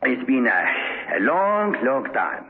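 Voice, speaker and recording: Bitter, brooding schemer, theatrical slow delivery with wild pitch swings, on tinny old radio. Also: male voice, big pitch swings. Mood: mock-courteous, bitter. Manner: theatrical, slow, drawn-out phrasing. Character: bitter, scheming male prisoner, slyly confident, brooding. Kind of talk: 1970s radio drama acting. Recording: tinny narrow-band old radio recording.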